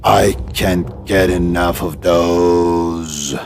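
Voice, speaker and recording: A man voicing a hungry, menacing giant in a children's storybook, chanting in a drawn-out sing-song. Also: deep voice, steady tone, noisy background, heavily acted. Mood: menacing, gloating. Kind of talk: children's storybook character acting.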